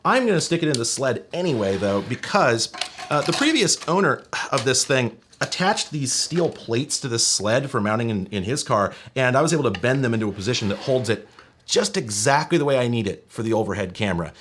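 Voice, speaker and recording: A man's voice: medium pitch, very animated, fairly quick, fairly bright tone, slight background noise. A man hosting a tech explainer video in a conversational, wry, nerdy way, as if talking straight to viewers. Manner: casual, wry, nerdy technical detail. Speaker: host of a retro tech video channel.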